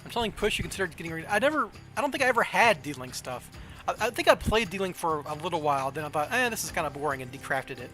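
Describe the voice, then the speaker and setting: Higher-voiced Southern American man streaming. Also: lively intonation, fast, pushed and bright, faint music behind. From southern United States. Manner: thinking aloud, self-questioning. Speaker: game streamer. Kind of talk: casual livestream chat while playing a card game.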